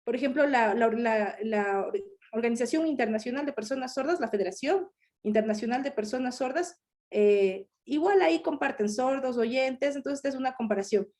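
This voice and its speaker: Woman interpreter, high mellow voice, short explanatory phrases on a video call. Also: animated, moderate pace. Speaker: sign language voice interpreter. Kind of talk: live voicing of a signed talk.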